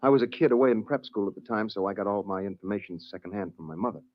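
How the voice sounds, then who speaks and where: A man's voice: on the low side, racing, lightly acted. An actor playing an earnest young man who tells his own story reflectively in 1940s radio drama style. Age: young adult. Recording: dull old radio recording.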